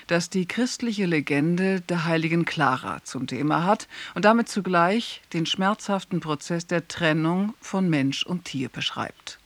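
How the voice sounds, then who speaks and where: A male radio announcer with a rather high voice gives a formal broadcast introduction. He is courteous and scripted, recorded very dry. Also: fairly bright tone, no room sound.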